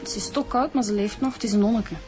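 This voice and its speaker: An actress playing a factual, businesslike Flemish police inspector in a radio drama. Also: female voice, fairly high pitch, fast talker, over music, close to an everyday voice. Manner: factual, orderly.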